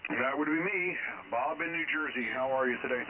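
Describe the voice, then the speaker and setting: A man's voice: medium pitch, a little fast. A man on amateur radio, chatting casually through a radio transmission. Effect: narrow radio transmission. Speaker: amateur radio operator on the air. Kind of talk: casual radio contact chat. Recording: thin, noisy shortwave radio signal.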